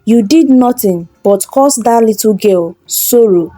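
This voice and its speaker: A woman's voice: on the high side, unhurried, mellow, faint music behind. A woman voicing a stern but compassionate, reproachful husband in a folktale. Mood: sad. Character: stern, compassionate husband, sorrowful about an orphan.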